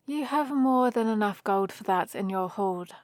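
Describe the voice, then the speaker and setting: A woman's voice: mid-range voice, expressive, moderate pace, no echo, studio quality, natural voice. A woman playing a playful, teasing young heroine adventurer who chats and rambles fondly in a one-sided ASMR roleplay. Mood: explaining, coaxing. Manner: chatty, rambling, gently teasing.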